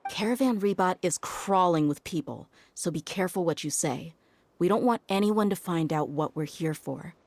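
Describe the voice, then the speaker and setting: Low-voiced, firm desert mercenary woman. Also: moderate pace, a little mellow, dry recording. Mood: firm, businesslike. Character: tough, protective adult desert mercenary woman, resentful of injustice. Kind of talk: video game voice acting. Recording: music playing behind the voice. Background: game background music.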